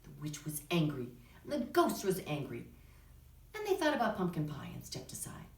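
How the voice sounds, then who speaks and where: A woman with a slightly low voice works as a drama teacher, explaining and narrating children's stories. She is recorded from a distance in a roomy, echoing space. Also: wildly swooping pitch, normal speed, vocal fry. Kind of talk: instructional talk and children's story narration. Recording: echoey room, distant microphone.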